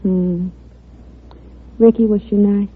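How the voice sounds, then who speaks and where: A woman's voice: mid-range voice, steady tone, takes it slowly, breathy edge. A woman playing a detective's adoring, coy girlfriend in old-time radio style, romantic and playfully naive. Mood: coy, teasing. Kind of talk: 1940s-50s radio acting. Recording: tinny vintage radio recording.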